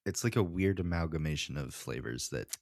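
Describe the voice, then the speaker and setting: A man's voice: very deep voice, expressive, a little fast, a little mellow, no echo, studio quality. A man co-hosting a podcast in casual, slangy, informal chat. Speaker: podcast co-host.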